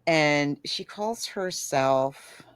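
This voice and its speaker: A woman's voice: deep voice, drawn-out words, clean tone, no room sound. A woman co-hosting a podcast, chatting casually in a rambling storyteller's way and acting out quotes as she goes. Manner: rambling, animated storyteller who acts out quotes. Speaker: podcast co-host. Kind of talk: casual chat about books and films.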